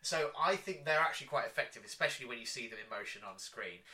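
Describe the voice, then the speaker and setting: Casting: male podcast co-host, bright forceful tone, mid-pitched, keen explainer in casual conversation. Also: expressive, fast talker. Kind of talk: casual chat reviewing a TV show.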